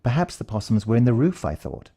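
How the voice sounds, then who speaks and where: A man's voice: medium pitch, very animated, quick pace, mellow, husky. A British man narrating a first-person story in a dramatic, suspenseful way. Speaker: audiobook narrator.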